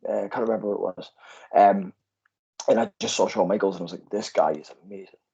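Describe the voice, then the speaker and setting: A man's voice: on the low side, fairly even intonation, quick pace, gravelly, dark tone, breathy edge, dull audio. A Scottish man with an Aberdeen accent, a wrestler guest chatting easily with lots of fillers. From Scotland. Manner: easygoing, filler-heavy, enthusiastic. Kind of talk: casual interview chat.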